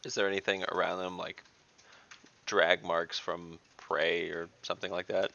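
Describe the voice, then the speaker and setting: A man playing a tabletop game online, casually thinking aloud in a deliberate, hesitant way. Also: low-pitched, level tone, creaky, sharp tone, dull audio.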